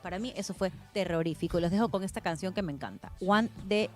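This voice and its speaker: A woman's voice: fairly low pitch, quick pace, faint music behind. A woman co-hosting a talk show, chatting casually in a colloquial, emphatic way and introducing songs. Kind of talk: casual conversation and song introductions.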